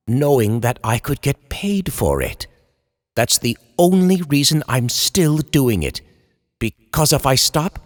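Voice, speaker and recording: Man playing an anguished horror writer, slow and echoing. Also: medium pitch. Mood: bitter, disgusted. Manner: theatrical, eloquent, dramatic confessional monologue. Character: anguished horror writer, a man confessing his descent into madness. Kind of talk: old time radio horror storytelling. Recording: long reverberant hall echo.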